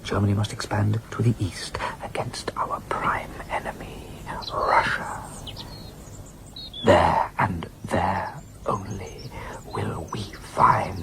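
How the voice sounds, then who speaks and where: An actor playing a fanatical, domineering male political leader in an audio drama, declaiming with dramatic pauses and hushed intensity. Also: deep voice, steady tone, vocal fry, whispered, heavily acted. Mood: menacing fanaticism.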